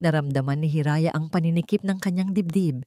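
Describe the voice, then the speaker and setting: A Filipino-accented woman reads a dramatic story as its narrator. Her voice sits on the low side for a woman. The recording is dry and close, with no room sound. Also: a little fast, mellow, dry recording.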